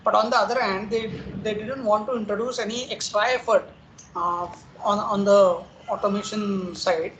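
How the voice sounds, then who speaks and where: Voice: medium pitch, fairly even intonation, normal speed, fairly bright tone. Instructor in an echoey room. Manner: explains patiently with everyday analogies, checks in with "okay" and "right". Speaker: software testing instructor. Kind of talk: recorded technical lecture. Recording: roomy echo, faint background noise.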